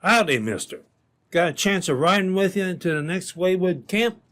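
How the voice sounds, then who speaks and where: An actor playing a big, crafty frontier robber with folksy talk in an old-time radio drama. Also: male voice, sits high, lively intonation, moderate pace, fairly bright tone. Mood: falsely friendly. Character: big burly frontier robber posing as traveler.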